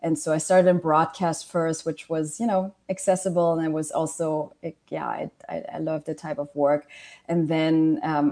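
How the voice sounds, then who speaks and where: Woman, forties, grew up in Germany; documentary filmmaker as guest, low and mellow, earnest with lots of restarts. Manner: earnest, many fillers and restarts. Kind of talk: interview answers.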